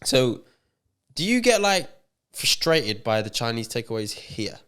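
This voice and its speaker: A man's voice: mid-range voice, big pitch swings, fairly bright tone, clear, resonant tone. Guy co-hosting a podcast, voice bounces all over, always joking and teasing the guest. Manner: joking, teasing, quick to laugh. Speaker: podcast co-host. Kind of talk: casual chat.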